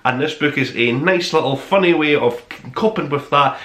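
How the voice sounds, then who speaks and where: A man's voice: fairly high pitch, moderate pace, sharp tone, echoey room. A man presenting a review podcast as its host, talking conversationally and emphatically to his listeners with enthusiasm. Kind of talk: conversational top-five review monologue to listeners.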